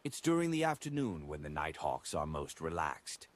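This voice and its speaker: A man's voice: a bass voice, big pitch swings, moderate pace. Languid worldly young woman, voiced by a man, lilting. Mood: pleased, knowing. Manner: languid, knowing.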